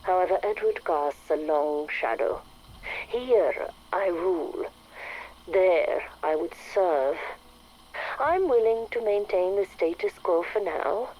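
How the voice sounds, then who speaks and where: An actress playing a haughty, ambitious facility worker with a dry, condescending, measured manner. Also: female voice, on the low side, animated, darkish tone, slight background noise, rough recording. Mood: smug, calculating. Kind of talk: acted audio log.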